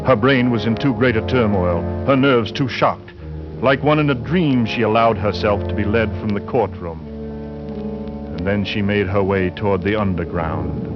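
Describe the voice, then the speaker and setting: A man playing a grave, suspenseful storyteller, narrating a 1940s radio thriller with theatrical, deliberate emphasis. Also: fairly low pitch, natural voice. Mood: tense suspense. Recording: muffled old radio recording, music behind.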